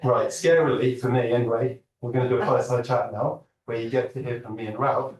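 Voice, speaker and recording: A man's voice: medium pitch, fairly even intonation, a little fast, darkish tone. A man hosting a startup demo night, talking to a live audience in an upbeat, joking way from a stage. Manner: upbeat, joking, addresses the crowd. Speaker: event host. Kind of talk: event talk to a live audience. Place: large lecture hall stage. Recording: roomy hall from a distance.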